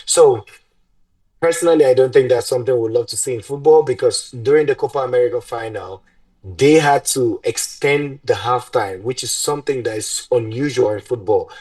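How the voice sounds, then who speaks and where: A man's voice: medium pitch, moderate pace, a little mellow, no echo, recorded from a distance. A young man co-hosting a sports podcast, opinionated and rambling, laughing often. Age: young adult. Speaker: podcast co-host. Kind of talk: casual sports podcast chat. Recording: dry sound, mic set back.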